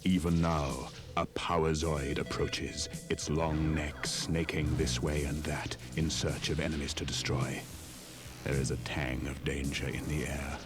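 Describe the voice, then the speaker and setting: A man playing a solemn, world-weary namer of war machines, narrating a children's audio story in a portentous manner. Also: rumbling low. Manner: grave, portentous. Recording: loud music behind the voice.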